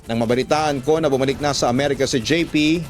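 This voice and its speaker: A man's voice: mid-range voice, fast, a little hiss or hum. A man with a Filipino accent reading a listener's love letter as a scripted first-person radio narration.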